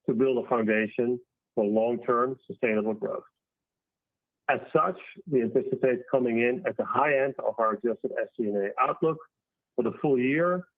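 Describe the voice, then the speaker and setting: A man's voice: medium pitch, no room sound. Man on a tinny phone line, formal. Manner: formal, measured reading. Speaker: company finance executive. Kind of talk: scripted earnings call presentation. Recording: tinny phone-line conference call audio.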